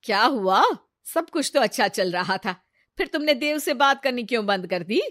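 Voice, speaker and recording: A woman's voice: a little high, animated, normal speed, a bit bright, no room sound, studio quality. A woman voicing an insistent, traditional middle-aged mother, scolding and persuasive, in an audio story. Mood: nagging.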